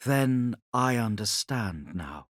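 A man's voice: mid-range voice, expressive, takes it slowly, dry recording, clean studio sound. A man voicing an earnest woman counsellor in an audiobook, direct and insistent as she questions her lord. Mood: earnest realisation.

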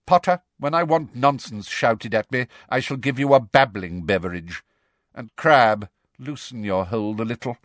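A man voicing a cold, contemptuous schoolmaster in a story, speaking in a deliberate, drily sarcastic way. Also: medium pitch, a bit bright, no room sound, studio quality, lightly acted. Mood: cold sarcasm. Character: cold, sardonic adult male schoolmaster, contemptuous.

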